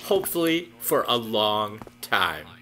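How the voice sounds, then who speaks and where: Actor as mean guy mocking slowly, gloating, audio drama villain. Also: lowish voice, sing-song, sharp tone, full character voice. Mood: gleeful taunting. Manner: taunting. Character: cruel, mocking, gloating bully of a man.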